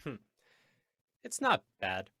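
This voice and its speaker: A man's voice: medium pitch, wildly swooping pitch, takes it slowly, pushed and bright, slightly rough, dry recording, exaggerated voice. A man playing an offhand but firm newspaper boss in an old-time radio drama. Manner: offhand, authoritative.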